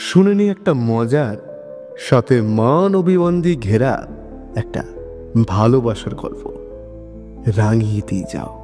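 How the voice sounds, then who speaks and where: A man with a West Bengal accent narrating a scripted love story, expressive and conversational, speaking straight to listeners. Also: medium pitch. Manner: expressive, conversational, with rhetorical questions. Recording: long reverb with music behind.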